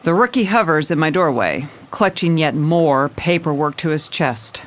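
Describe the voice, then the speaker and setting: An actress playing a jaded, wry police commissioner who narrates in hardboiled detective style in an audio drama. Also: female voice, low voice, expressive, moderate pace. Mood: wry, weary. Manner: wry, world-weary. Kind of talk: scripted noir detective narration with dialogue.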